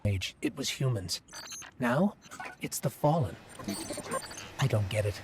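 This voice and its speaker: A man's voice: medium pitch, animated, unhurried, lightly acted. Male actor as small robot companion, middle register, earnest, conversational, loyal, video game drama, noisy ambience. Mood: puzzled. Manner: earnest, conversational, plain-spoken. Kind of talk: video game cutscene acting.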